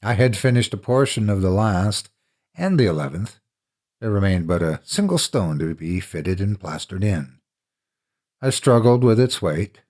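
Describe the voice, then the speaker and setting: Slow, husky, dramatic male horror narrator. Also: on the low side, a little mellow. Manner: theatrical, deliberate.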